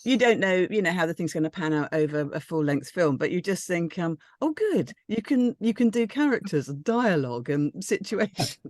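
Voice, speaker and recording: A woman's voice: on the low side, lively intonation, moderate pace, few pauses, darkish tone, no echo, studio quality. A woman chatting in a rambling, tentative but enthusiastic way about a script in a group discussion. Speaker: script reading panellist.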